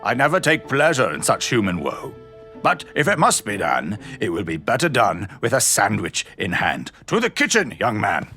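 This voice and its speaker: Pompous old British statesman, low and animated. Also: moderate pace, faint music behind, full character voice. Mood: brisk, pompous. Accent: British English. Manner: pompous, grandly eloquent, oratorical. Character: pompous, blustering old British statesman, boastful but kindly mentor. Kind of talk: audio drama acting.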